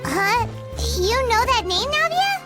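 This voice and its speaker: A tiny floating female creature in a game, very high and squeaky, her voice bouncing all over the place. She is childlike and excitable and chirpy, always calling herself by her own name instead of "I". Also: takes it slowly, soft background music, exaggerated voice. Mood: curious. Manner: speaks of herself in the third person. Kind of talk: game voice acting.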